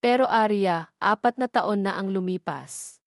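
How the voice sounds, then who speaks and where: A woman's voice: mid-range voice, a little slow, no room sound, clean studio sound, natural voice. A woman voicing a concerned, coaxing friend in a romance audio drama. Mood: worried, coaxing.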